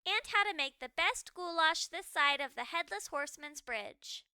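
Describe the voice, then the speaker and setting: A woman storyteller reading a children's book aloud with expressive, dramatic and deliberately phrased narration. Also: very high-pitched, dry recording, studio quality.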